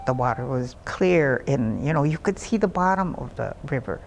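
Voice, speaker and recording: An older woman, a community elder from Minnesota, recalling the river in a reflective, unhurried way with many fillers. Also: very deep voice, lively intonation, darkish tone, slight background noise. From Minnesota, United States. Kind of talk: interview recollection.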